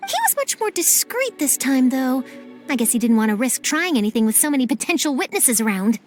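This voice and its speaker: A bubbly, inquisitive young reporter. Her voice is very high and bounces expressively through each line. Light game music plays under her. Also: very animated, a little fast. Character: upbeat curious young woman reporter. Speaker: journalist. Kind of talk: video game cutscene acting.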